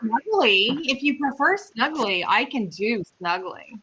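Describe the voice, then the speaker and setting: A woman's voice: a little high, animated, measured pace, sharp tone, slightly rough, rough recording. A woman playing a tabletop game online, speaking casually in character.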